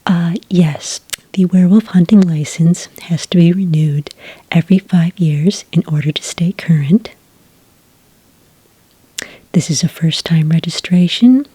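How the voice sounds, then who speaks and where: A woman doing a soft-spoken ASMR roleplay as a polite, patient clerk, whispering gently close to the microphone. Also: fairly low pitch, dry recording, poor audio. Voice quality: whispery, breathy. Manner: gentle, polite, unhurried customer-service patter. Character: friendly, patient female registration clerk at a hunting agency.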